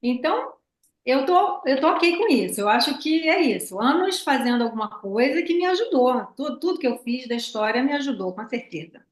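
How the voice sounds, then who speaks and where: A woman's voice: sits high, expressive, fairly quick, echoey room. Older woman with a Rio accent. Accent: Rio de Janeiro. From Brazil. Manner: warm storytelling, nostalgic. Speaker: podcast guest, osteopath. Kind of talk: casual interview chat.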